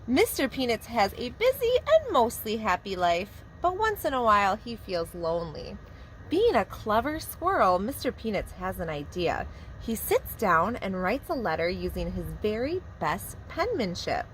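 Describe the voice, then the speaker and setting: A woman preschool teacher reading a picture book aloud to young children in a warm, expressive storytelling manner. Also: mid-range voice, moderate pace, slight background noise, rough recording.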